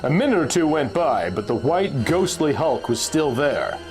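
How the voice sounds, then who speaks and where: Animated man reading a pulp adventure story. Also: fairly high pitch, moderate pace, soft background music. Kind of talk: dramatic scripted narration of an adventure story.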